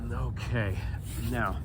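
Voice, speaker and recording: A man leading a stretching routine as fitness instructor, cueing calmly and chatting in between, with a slightly stuffy nose, outdoors. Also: medium pitch, very slow, noisy background. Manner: calm, chatty cueing with breathy sighs.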